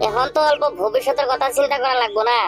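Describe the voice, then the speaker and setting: A man voicing a scheming, pushy village bachelor in a comic cartoon, with a pitched-up voice and a rural regional Bengali accent, talking in a colloquial, badgering way. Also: fairly even intonation, racing, fairly bright tone, soft background music. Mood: coaxing, scheming.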